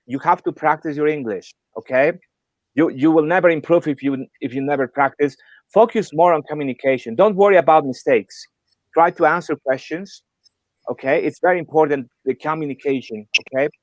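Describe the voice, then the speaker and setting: A man's voice: fairly high pitch, no echo. Man coaching English learners, dry sound. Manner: encouraging, often checks with "okay?" and "right?". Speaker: English exam coach, workshop presenter. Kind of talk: informal online lesson. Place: online video call.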